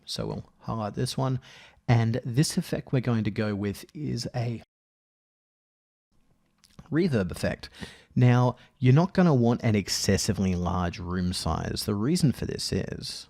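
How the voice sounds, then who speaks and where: Low, creaky man thinking aloud. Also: darkish tone. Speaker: audio editing tutorial presenter. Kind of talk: casual software tutorial narration.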